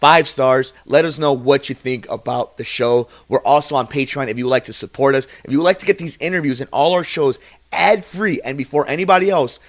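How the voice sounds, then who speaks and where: A man's voice: medium pitch, no room sound. A man with an American accent hosts a casual podcast, speaking in an earnest, effusive way. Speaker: podcast host and interviewer. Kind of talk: casual podcast interview and sign-off.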